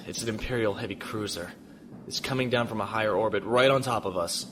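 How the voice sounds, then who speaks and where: An actor playing a calm, determined spaceship pilot in a science fiction audio drama, speaking in clipped commands. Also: male voice, lowish voice, brisk, background noise, close to an everyday voice. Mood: wary focus. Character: calm, determined male spaceship pilot, steady under fire.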